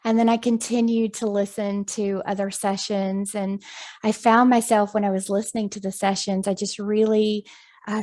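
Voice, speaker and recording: Woman, interview guest, chatty, friendly, middle pitch. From United States. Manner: friendly, chatty, many ums, laughs easily. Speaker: guest, hypnosis practitioner. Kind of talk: video call interview.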